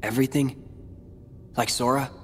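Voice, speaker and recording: An actor playing a guarded, weary teenage boy hero in a video game cutscene, speaking tersely and haltingly. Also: medium pitch, level tone, a bit bright, in a large hall, a little hiss or hum, lightly acted. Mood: guarded, troubled. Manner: slow, terse, halting delivery.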